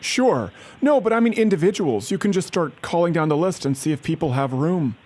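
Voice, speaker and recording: A man's voice: a little high, expressive, a little fast, darkish tone. A man making a prank phone call, pushy and falsely sincere, often stammering and restarting his sentences. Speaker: prank caller.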